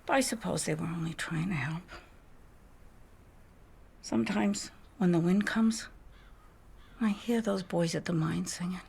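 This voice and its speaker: Husky, creaky older society widow. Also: female voice, fairly low pitch, a little slow, pauses often, a little mellow, exaggerated voice. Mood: haunted, sorrowful. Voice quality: husky, breathy with creaky edges. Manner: theatrical, confiding, drops into whispers. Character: faded, reclusive widowed socialite, wistful and wry. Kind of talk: dramatic video game monologue.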